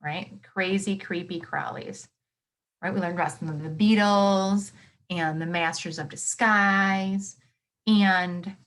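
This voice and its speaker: A woman reading a nonfiction book aloud to children, expressively, with simple explanations and reactions as a teacher would. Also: mid-range voice.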